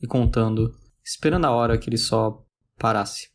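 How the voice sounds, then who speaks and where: A man's voice: mid-range voice, a little fast. A Brazilian man narrating a scripted video essay.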